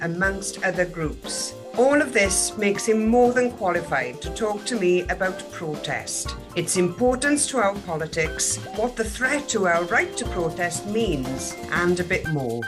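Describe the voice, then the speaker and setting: Female interviewer, slightly low voice, composed podcast presentation over quiet music. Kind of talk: measured podcast interviewing with scripted introduction.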